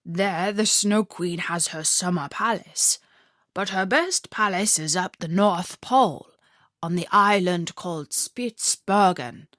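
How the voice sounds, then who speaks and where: A woman's voice: lowish voice, slow, a bit bright, no room sound, studio quality. A woman voicing a proud, stately reindeer in a storybook, wistful for a snowy homeland.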